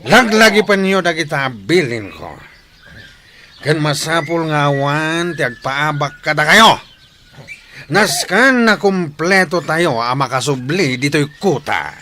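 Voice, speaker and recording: A man's voice: fairly high pitch, sing-song, unhurried, pushed and bright, heavily acted. A man playing a domineering bandit chief in a radio drama, giving emphatic, boastful orders to his gang. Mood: commanding. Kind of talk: Ilocano radio drama acting.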